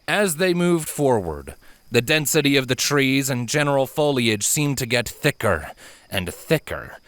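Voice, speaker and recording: An audiobook narrator delivers scripted fantasy prose with drama. The voice is bright and expressive in pitch, captured in a dry recording. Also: measured pace, no echo.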